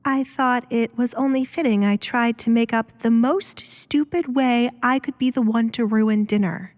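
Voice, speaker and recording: Woman acting a higher-pitched, sarcastic young noblewoman. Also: moderate pace, darkish tone, close to an everyday voice. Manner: sarcastic, pointed. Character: defiant, sarcastic young noblewoman, coolly composed.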